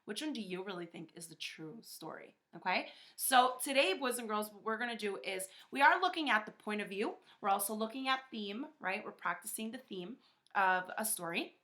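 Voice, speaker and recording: A woman's voice: medium pitch, lively intonation, normal speed, pushed and bright. A woman teacher talking to young pupils in a conversational way, often checking in with a short questioning tag. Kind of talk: children's lesson talk.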